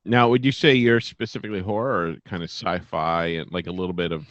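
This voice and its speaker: A dry, dull-sounding recording of an American man with a fairly low voice. He hosts casually, talking in halting bursts with plenty of filler "like". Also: steady tone, a bit bright, no room sound. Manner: halting, says "like" often. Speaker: podcast host. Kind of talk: casual chat.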